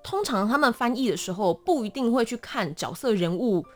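Lively, high-voiced Taiwanese woman. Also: fairly quick, soft background music. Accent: Taiwanese Mandarin. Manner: animated, self-deprecating, acts out small examples. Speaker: interview guest, voice actor. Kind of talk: casual interview explanation.

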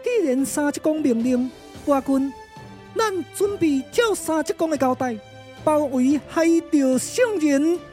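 A man's voice: very high-pitched, expressive, measured pace, darkish tone, faint music behind. A man voicing a bold, dutiful young warrior with a Taiwanese accent, in formal, ringing puppet-drama declamation. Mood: dutiful, rousing.

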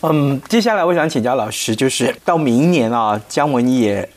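A man with a Taiwanese Mandarin accent hosting a radio interview, putting prepared questions in a polite, formal, measured way. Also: medium pitch, expressive.

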